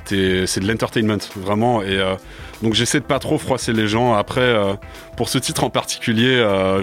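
A man in his twenties, a native English speaker, answering a radio interview in a studio with many hesitations and fillers. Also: lowish voice. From France. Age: 20s. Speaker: rapper guest.

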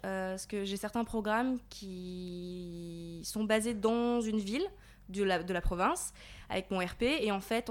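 A woman in her twenties from the Paris region, answering interview questions in a thoughtful, explanatory way with frequent fillers. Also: medium pitch. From Paris region, France. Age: 20s. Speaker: guest, volunteer.

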